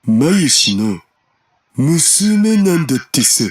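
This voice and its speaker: A man's voice: a little high, sing-song, unhurried. A male actor voicing an evasive, easygoing young friend in a Japanese visual novel, in a hesitant, guarded drawl. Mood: matter-of-fact.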